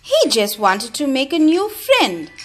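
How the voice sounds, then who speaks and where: A woman reading a children's storybook aloud, with expressive, deliberate and emphatic phrasing. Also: high-pitched, faint music behind. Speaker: storybook reader.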